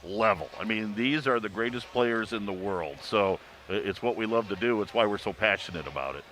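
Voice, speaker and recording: Bright, forceful man talking sports. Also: lowish voice, brisk, poor audio. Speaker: sports radio play-by-play announcer. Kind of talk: pre-game sports panel discussion. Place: sports arena. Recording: clear background noise, venue ambience.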